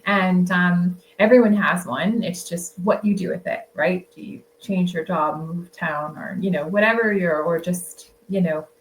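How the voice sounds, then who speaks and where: A woman's voice: on the low side, measured pace, hardly any pauses, slight background noise, low-quality recording. A woman author, a guest, telling travel stories in a casual, chatty way and laughing often. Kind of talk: casual storytelling.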